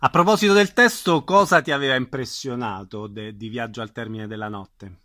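A man with a medium-pitched voice hosts a radio interview. He introduces his guest and puts questions to him from the studio. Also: normal speed, sharp tone.

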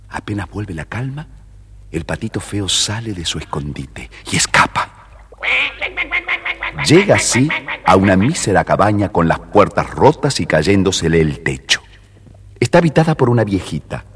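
A man's voice: low-pitched, breathy edge. A man narrating a scripted children's story as the storyteller. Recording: faintly noisy vintage story record.

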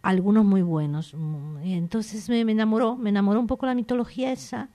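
Woman, author, from Argentina, relaxed interview, digressive, searching for words, mid pitch. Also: fairly quick.

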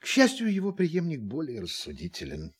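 A condescending alien visitor in a Russian audio drama. His slightly husky voice leaps wildly up and down, mock-polite and theatrical, relishing his game with the humans. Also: on the high side, wildly swooping pitch, a little fast, heavily acted. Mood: smugly condescending.

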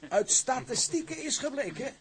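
An actor playing an excitable gentleman declaiming formal news with relish in a radio drama. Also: extremely high pitch, normal speed, a crowd in the background. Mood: triumphant. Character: excitable gentleman reading news aloud to sailors.

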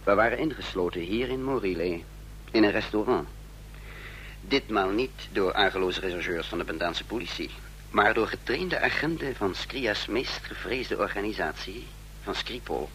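An actor playing a cool, wry spy who narrates and acts in a radio play with a composed, instructing manner. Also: male voice, fairly low pitch, lively intonation, normal speed, a bit bright, a little hiss or hum, poor audio. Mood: tense narration. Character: cool, wry male spy narrating his own adventure.

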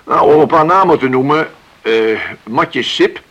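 Friendly coaxing villager in a radio play, husky, swingy intonation, stammers repeats. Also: male voice, on the high side, lively intonation, unhurried, fairly bright tone, lo-fi sound. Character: jovial, coaxing village man recruiting a crew. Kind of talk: radio drama acting.

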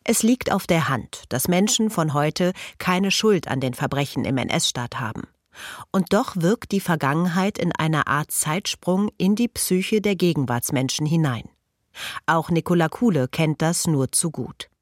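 Dry, measured female documentary narrator. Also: sits low, expressive, no room sound. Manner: measured, factual. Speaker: radio feature narrator. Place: radio studio.

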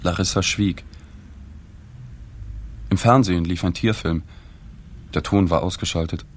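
An actor playing a reserved journalist who narrates a radio drama in the first person, restrained and matter-of-fact. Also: male voice, sits low, unhurried, a little hiss or hum. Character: reserved, observant male journalist recounting a sad story.

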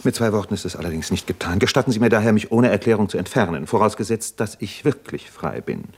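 A weary thoughtful doctor in an old german radio play, deep voice, earnest and educated, bit proud. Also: male voice, expressive, quick pace, breathy edge. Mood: defensive, proud. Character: weary, proud, reflective male army doctor and writer.

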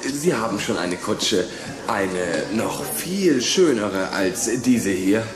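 An actor playing a flustered, evasive schoolboy in a German children's radio play, hesitant and stammering. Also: male voice, on the low side, lively intonation, slow pace, echoey room, over music.

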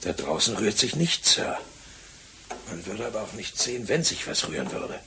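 An actor playing a wry, deferential police sergeant with a husky voice in a German radio crime drama. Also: male voice, on the low side, big pitch swings, normal speed, heavy background noise. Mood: hushed, puzzled. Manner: wry, questioning, respectful.

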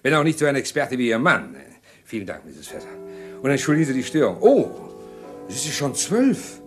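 An actor playing a polite, hesitant middle-aged husband in a radio crime drama, narrating his own story, with soft background music. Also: mid-range voice, wildly swooping pitch, fairly quick. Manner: polite, hesitant, later frantic shouting. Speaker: narrator and lead character.

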